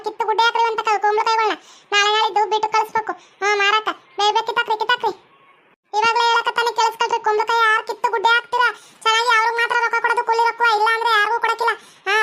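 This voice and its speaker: Woman voicing a very shrill, bossy farm owner. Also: little pitch movement, very fast, sharp tone. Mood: impatient, scolding. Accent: North Karnataka. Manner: scolding, insistent, repeats orders. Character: bossy, impatient female farm owner hurrying her labourers.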